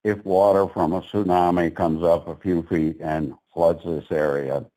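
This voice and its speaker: Deep voiced guy at a public meeting, blunt, sarcastic, kinda mellow tone. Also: level tone, unhurried, poor audio. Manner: blunt, sarcastic about the agency. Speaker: petitioner at regulatory meeting.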